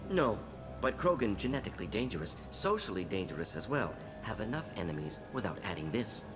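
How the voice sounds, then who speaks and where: An actor playing a brisk, analytical male alien scientist in a video game, talking in clipped, telegraphic sentences. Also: lowish voice, very animated. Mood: brisk, wary. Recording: game audio with music behind.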